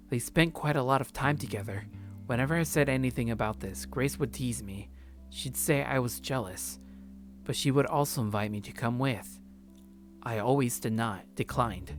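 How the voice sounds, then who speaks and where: A man hosting a let's play, reading a visual novel's first-person narration aloud. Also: mid-range voice.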